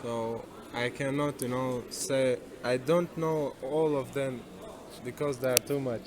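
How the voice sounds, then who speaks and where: Russian male student, slow and deliberate, outdoor noise. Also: mid-range voice, steady tone. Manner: unhurried, groping for words. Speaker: interviewee, international student. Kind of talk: casual spoken answers.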